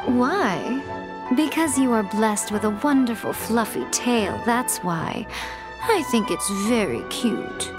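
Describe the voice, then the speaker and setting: A sly fox shrine maiden in a video game. The voice is fairly high and very lilting, poised and teasing. Music plays clearly underneath. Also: female voice, sing-song, takes it slowly. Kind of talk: acted video game dialogue. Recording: music behind the voice.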